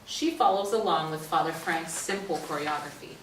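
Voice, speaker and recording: Woman, slightly low pitch, scripted narration of stage directions, distant mic, roomy echo. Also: moderate pace. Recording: roomy distant stage recording, faint noise.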